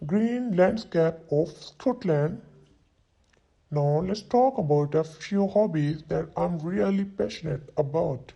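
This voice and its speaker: A man in his twenties voicing a young London solicitor who introduces himself, saying each sentence twice slowly for learners to repeat. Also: fairly high pitch, gravelly, mellow, highs cut off, heavily acted. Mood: plain. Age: 20s. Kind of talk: slow repeat-after-me drill reading.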